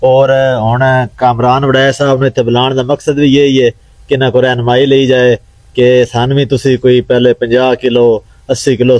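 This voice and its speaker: A man answers in a steady, measured way, his voice mid-pitched and very even in tone. The recording outdoors is dry. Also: no echo. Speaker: stadium owner. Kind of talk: interview answers. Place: outdoor lawn at night.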